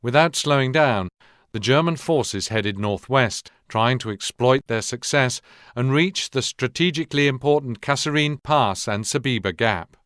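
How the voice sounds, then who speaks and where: Guy as war game narrator, clean smooth voice, serious history documentary style, measured, no room sound. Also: mid-range voice, a bit bright, no room sound, clean studio sound, natural voice. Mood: sober, grave.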